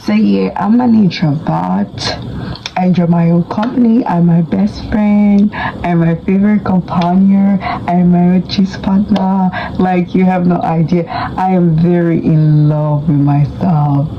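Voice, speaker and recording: Young woman rambling playfully at her camera, kinda low voice, muffled audio with hiss behind. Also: fairly even intonation, unhurried. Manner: chatty, playful, laughs often, bursts into high-pitched emphasis. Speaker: vlogger. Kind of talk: casual chat to camera. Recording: dull lo-fi sound with clear background noise.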